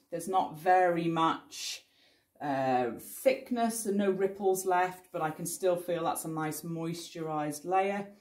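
A woman in her forties explaining each painting step as she works at an easel, calm and reassuring, often thinking aloud. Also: lowish voice, reverberant. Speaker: painting teacher. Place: indoor studio in front of a cloth backdrop.

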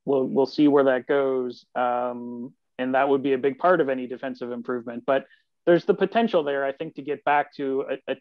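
A man's voice: mid-range voice, steady tone, brisk, no echo. A man giving conversational sports analysis as a guest, on lo-fi video-call audio. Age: 40s. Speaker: sports columnist, podcast guest. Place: room at home on video call.

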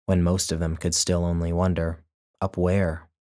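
A man, the author, narrating his own literary short story in a measured, even way. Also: sits low.

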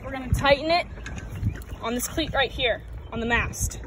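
A woman's voice: sits high, moderate pace. A young woman sailing instructor giving a patient, step-by-step demonstration at a lake shore, naming each part as she goes, recorded on a phone close by. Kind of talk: outdoor how-to demonstration. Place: outdoors at a lake shore, standing in shallow water. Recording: outdoor phone recording with clear water and boat noise.

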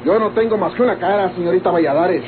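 An actor playing a resentful, proud young rancher brother in an old radio drama, with a Mexican accent. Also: male voice, high voice, quick pace, close to an everyday voice. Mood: indignant. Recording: tinny, noisy old radio drama recording.

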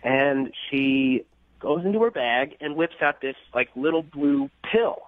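A man's voice: medium pitch, measured pace, no echo, phone-quality sound. An exasperated, incredulous man casually telling a comic story as a guest on a radio phone-in. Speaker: phone-in guest recounting a bad date.

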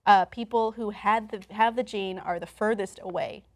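A woman's voice: mid-range voice, moderate pace. Young woman co-hosting a studio talk show. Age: young adult. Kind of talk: conversational presenting from notes. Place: TV-style studio, indoors.

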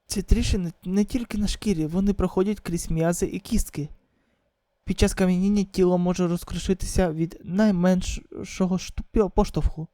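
A woman voicing a grave, measured news expert giving a formal report in a manga drama. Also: fairly low pitch, fairly even intonation, dark tone, dry recording, lightly acted.

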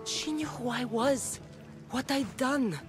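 A woman's voice: sits high, lively intonation, slow pace, over music. An actress playing a tough, defiant young mercenary woman, blunt and assertive, in a video game cutscene. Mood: intense, troubled.

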